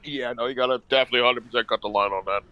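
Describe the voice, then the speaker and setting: A man's voice: mid-range voice, steady tone, rapid-fire, lo-fi sound. A man chatting casually in a blunt, profane, slangy way, rambling through his opinions.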